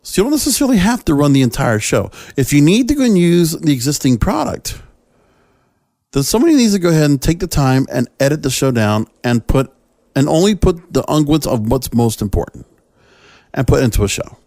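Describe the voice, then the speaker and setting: A man's voice: on the high side, very animated, brisk, dark tone, no room sound, studio quality. A man hosting a podcast solo, giving opinionated commentary in a conversational, emphatic, somewhat rambling way with frequent check-ins to the listener. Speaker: podcast host. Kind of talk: opinionated solo commentary, part reading from notes.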